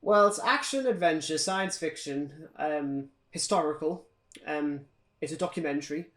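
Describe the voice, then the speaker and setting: A man's voice: on the high side, moderate pace, a bit bright. A British man co-hosting a podcast, chatting casually about films in an opinionated, sardonic, ranting way. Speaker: podcast co-host. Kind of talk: casual film review chat.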